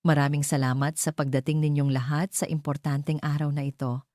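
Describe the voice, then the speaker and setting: A woman's voice: sits low, level tone, brisk, mellow, dry recording, studio quality, lightly acted. A woman narrator voicing a sly, possessive woman who speaks in a sugary, insinuating way in an audio love story. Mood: falsely gracious. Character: sly, sweet-talking scheming woman, cold and possessive. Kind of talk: audio romance story dialogue.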